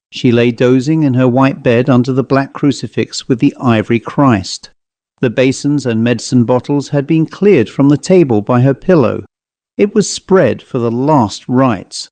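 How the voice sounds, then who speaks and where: A man reads a classic ghost story aloud with measured, deliberate pacing. His voice is mellow and dark. The recording is dry and close, with no room sound. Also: mid-range voice, dry recording. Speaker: audiobook narrator. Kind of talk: scripted narration of a ghost story.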